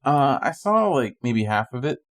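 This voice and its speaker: A man's voice: medium pitch, very animated, moderate pace, fairly bright tone, no room sound, studio quality. A man co-hosting a podcast, chatting casually in a laid-back, informal way. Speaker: podcast co-host. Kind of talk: casual chat.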